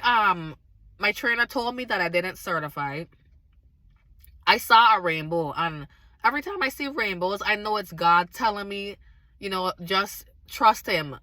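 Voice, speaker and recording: A woman with a slightly raspy, hoarse voice from recent illness, casually and earnestly telling viewers a faith testimony. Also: medium pitch, bright, forceful tone, no echo. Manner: earnest, emphatic, talks straight to viewers. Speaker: vlogger sharing a faith testimony.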